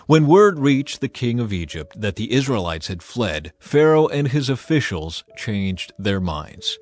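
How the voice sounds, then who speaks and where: A man's voice: medium pitch, sing-song, a little slow, darkish tone. A man narrating scripture in a scripted, dramatized audiobook style. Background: music.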